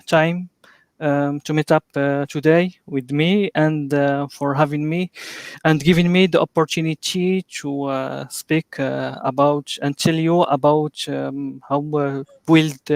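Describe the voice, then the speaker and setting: Smooth-voiced man, halting, full of fillers. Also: fairly high pitch, no room sound. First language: Arabic. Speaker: guest speaker. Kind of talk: live online interview.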